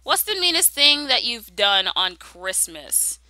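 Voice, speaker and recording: A young woman with a very bright, forceful voice that sits fairly high and moves up and down in a lively way. She talks casually and playfully, reading out questions and answering with sassy humor, recorded close and dry. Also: measured pace, no echo. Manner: playful, sassy, conversational storytelling. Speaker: YouTube animation creator answering viewer questions.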